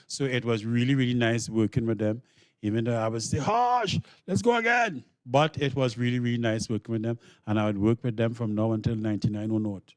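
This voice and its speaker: A man explaining things in an interview as a drama director, deliberately and with repeated words for emphasis. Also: medium pitch, no room sound, studio quality.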